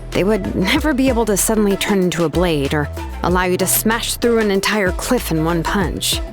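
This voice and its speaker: A woman doing an easygoing craftswoman who tells stories in a chatty way, with casual fillers between thoughts. Her voice sits in a mid pitch but moves expressively up and down. The delivery is polished video game voice acting. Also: natural voice. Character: easygoing female artisan and name engraver, chatty.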